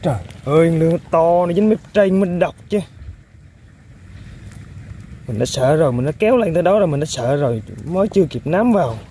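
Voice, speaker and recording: An outdoors man talks his viewers through his catch with excited exclamations. His voice is slightly high yet mellow and soft-edged. Faint noise sits under the recording. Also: a little fast, pauses often. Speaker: fishing vlogger. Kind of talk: casual running commentary. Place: outdoors by a muddy riverbank.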